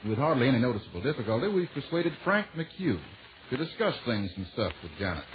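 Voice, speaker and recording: A man's voice: medium pitch, very animated, fast talker, slight background noise. A man announcing in a wordy, mock-formal way, reading a scripted 1940s radio introduction on a muffled recording.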